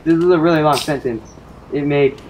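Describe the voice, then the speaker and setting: A young man in a gaming video, switching between casual chat and halting reading aloud, stumbling over words. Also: a little high, background noise. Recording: music playing over the voice.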